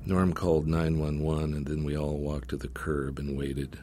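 A man's voice: rumbling low, little pitch movement, moderate pace, dark tone. A man reading his short story as a scripted narration in a wry, folksy storytelling manner.

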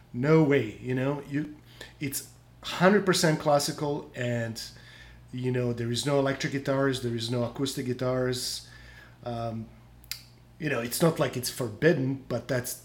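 A man from Croatia, an English speaker, a guitarist telling stories in an interview with many fillers and a voice that trails off softly. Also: mid-range voice. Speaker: guest guitarist. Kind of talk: storytelling interview answers.

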